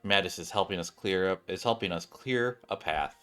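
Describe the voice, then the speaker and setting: A man's voice: deep voice, normal speed, fairly bright tone, soft background music. A man streaming a game and reading its story aloud, now and then stumbling and correcting himself. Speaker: gaming streamer.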